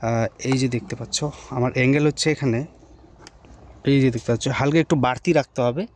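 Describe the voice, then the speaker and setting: A man's voice: medium pitch, steady tone, fast. A man giving a casual, improvised hands-on tutorial to viewers, talking informally as he works. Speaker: motorcycle vlogger presenting an unboxing and installation. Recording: clear background ambient noise. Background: background noise.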